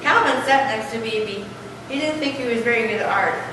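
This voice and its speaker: A woman's voice: on the high side, fairly quick, lightly acted. A young adolescent girl reading scripted narration as a student narrator in a school readers theater play. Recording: echoey distant stage recording, noisy.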